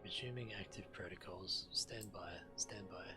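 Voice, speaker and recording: A man voicing an impassive ancient facility computer in a video game, giving measured, clinical announcements and records. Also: low voice, over loud music, lightly acted. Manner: measured, clipped status announcements.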